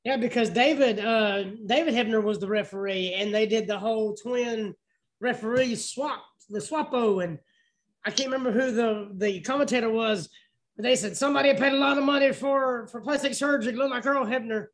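A man's voice: extremely high pitch, moderate pace, poor audio. A man in his late thirties talking casually as a podcast co-host while watching wrestling. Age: late 30s.

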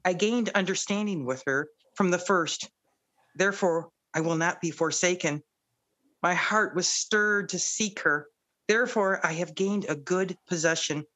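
A woman speaking earnestly in a fairly low voice. She tells her faith story in a relaxed, conversational way and sounds grateful throughout. When she reads scripture aloud, she slows down. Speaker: guest, radio producer. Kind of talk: casual faith testimony.

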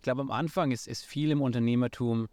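A man's voice: mid-range voice, steady tone, quick pace, darkish tone, dry recording. A man in his thirties from near Nuremberg with a Franconian accent, talking as an interview guest with many fillers and restarts. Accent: Franconian German. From Franconia, near Nuremberg, Germany. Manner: hesitant, many fillers and restarts, mixes in English words. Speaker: startup founder guest. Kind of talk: casual interview talk.

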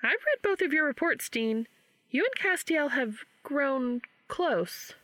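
Woman acting a perky, high-voiced young researcher. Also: big pitch swings, slow, fairly bright tone, no echo, full character voice. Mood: teasing, curious. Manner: upbeat, frank, patient. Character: perky, teasing young woman running a social study.

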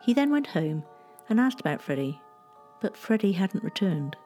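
Mellow woman narrating history. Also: medium pitch, very animated, faint music behind. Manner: measured, gently storytelling delivery.

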